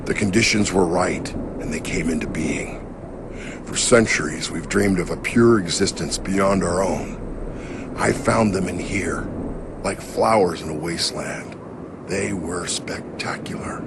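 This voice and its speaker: A man playing a weary, regretful middle-aged creator of a digital world in a game cutscene, speaking gravely and haltingly. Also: low-pitched, noisy background. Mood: wistful, reflective. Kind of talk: dramatic game cutscene acting.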